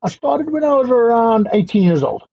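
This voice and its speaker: A man's voice: very high voice, normal speed, vocal fry, husky, dry recording. A man in his thirties with a South African accent, talking casually as a guest over a headset on a video call from a small indoor room. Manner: relaxed, chatty, laughs easily. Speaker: guest fighter. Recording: muffled lo-fi call audio.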